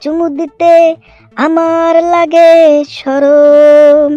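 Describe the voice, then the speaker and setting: A man voicing a goofy, boastful, lovestruck schoolboy in a comic cartoon, with a drawn-out sing-song manner and a pitched-up voice. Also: little pitch movement, dark tone, full character voice. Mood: silly singing. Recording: muffled lo-fi audio with music behind.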